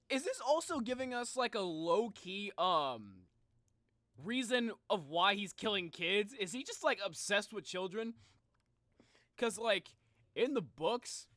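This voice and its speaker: A man streams his reactions in a high, bright voice that swings widely in pitch. He is excitable and slangy, repeating himself as he comments. The sound is close and dry. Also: no room sound. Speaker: streamer reacting to game videos. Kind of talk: casual live reaction commentary.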